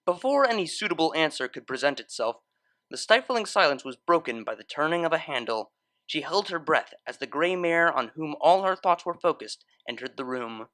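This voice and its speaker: A male storyteller reads scripted fiction with dramatic, literary phrasing. His voice sits slightly high and swings widely in pitch, and the recording is close and dry. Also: expressive, normal speed, pushed and bright, dry recording. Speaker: story narrator. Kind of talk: expressive scripted fan fiction narration.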